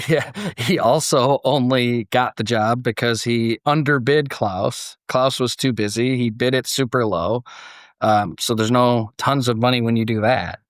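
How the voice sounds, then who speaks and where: A man's voice: mid-range voice, a little slow, dry recording, studio quality. A man hosting a podcast, chatting casually and narrating a scripted story in a conversational way.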